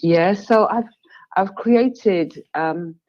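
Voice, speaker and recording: A woman guest poet speaking gently and reflectively about stillness and creativity. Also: mid-range voice, dull audio. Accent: British. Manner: slow, calm, gentle, with fillers. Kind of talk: reflective spiritual talk.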